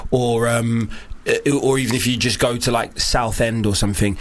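Wry man, mid-pitched co-host. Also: steady tone, moderate pace, slight background noise. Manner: wry, jokey, earnest asides. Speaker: co-host and musical performer. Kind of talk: casual podcast chat.

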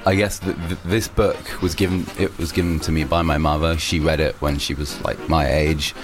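Very deep-voiced young man, musician. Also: flat delivery, moderate pace, music in the background. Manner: rambling with many restarts and fillers. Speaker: musician guest. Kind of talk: casual interview answers.

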